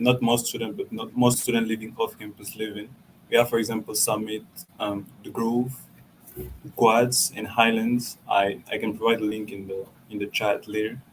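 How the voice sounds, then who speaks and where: A man's voice: lowish voice, a little hiss or hum, rough recording. A young man from Cameroon, a university student, explaining off-campus housing in a friendly way, often correcting himself. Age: young adult. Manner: friendly, self-correcting, with ums. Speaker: student presenter.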